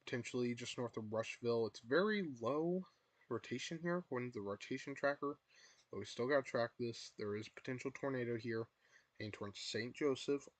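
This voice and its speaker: A young man hosts a live weather stream from his room, his voice going hoarse as he keeps repeating warnings. He talks at a middle pitch, and the sound is dry and close with no room echo. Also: brisk. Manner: repetitive, filler-heavy, urges viewers to take shelter. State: losing his voice. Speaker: livestream weather host.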